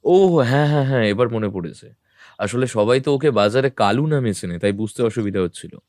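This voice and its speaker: A man voicing a calm, composed detective in an audio drama, speaking in a measured, matter-of-fact way. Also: mid-range voice, no echo, close to an everyday voice. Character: calm, composed male detective, middle-aged. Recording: dry close mic.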